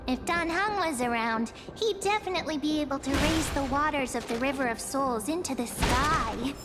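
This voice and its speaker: A woman's voice: high-pitched, normal speed, music in the background, close to an everyday voice. An actress playing a bubbly, curious young girl companion in an anime game, with a perky, inquisitive delivery. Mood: curious, chirpy.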